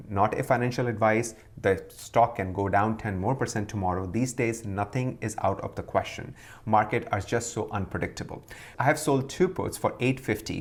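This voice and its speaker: A man hosting a video, giving emphatic, scripted-sounding commentary on investing and stepping through it point by point. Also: fairly low pitch. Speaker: stock commentary video host.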